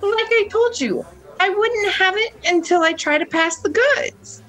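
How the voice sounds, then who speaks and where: Woman acting an anxious, very high-voiced club girl. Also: unhurried, a bit bright, rough recording. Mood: coaxing, furtive. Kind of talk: amateur radio play acting.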